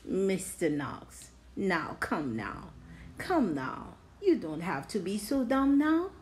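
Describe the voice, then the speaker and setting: A woman's voice: mid-range voice, very animated, takes it slowly, darkish tone, slight background noise. A woman voicing a sly, playful male fox from a storybook, coaxing and teasing insistently.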